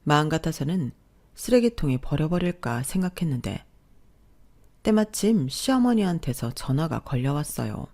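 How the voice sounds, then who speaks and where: A woman's voice: low-pitched, big pitch swings, normal speed, dark tone. A woman narrating a first-person family drama in an indignant, confiding tone. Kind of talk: scripted first-person storytelling.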